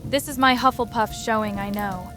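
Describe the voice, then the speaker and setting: A woman's voice: a little high, moderate pace, over music, natural voice. A woman playing an earnest, fair-minded young witch who speaks plainly in a scripted ASMR roleplay. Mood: earnest, reproachful.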